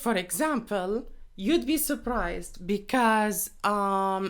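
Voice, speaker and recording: A young woman from Saudi Arabia chats openly as a podcast guest, talking at an easy pace. She uses plenty of fillers and laughs between thoughts. She is recorded close on a studio microphone. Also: mid-range voice. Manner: open, chatty, with frequent fillers and laughs. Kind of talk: casual interview chat.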